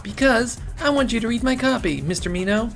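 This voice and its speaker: A man's voice: brisk, vocal fry, faint music behind. A man voicing a bubbly young woman reporter. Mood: eager. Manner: high, chirpy and earnest.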